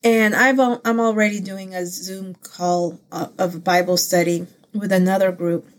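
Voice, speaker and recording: A woman's voice: medium pitch, fairly even intonation, measured pace. A woman born in the Philippines whose first languages are Pangasinan and Ilocano, hosting a casual personal faith vlog in an earnest, heartfelt way, rambling with many fillers and restarts. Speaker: channel host.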